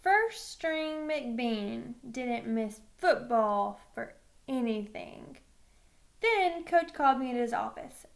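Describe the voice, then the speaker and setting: A woman reading a first-person children's novel aloud with expressive storytelling. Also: a little high, gravelly. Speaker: read-aloud reader.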